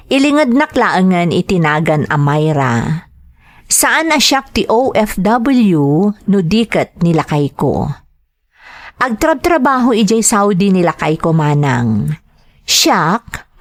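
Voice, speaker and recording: A woman presenting a radio drama, reading out greetings and a listener's letter in a scripted style. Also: lowish voice.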